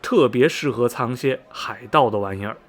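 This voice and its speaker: An actor playing a chatty railway ticket agent who gives folksy, emphatic warnings in a radio drama. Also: male voice, medium pitch, moderate pace, natural voice. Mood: animated warning.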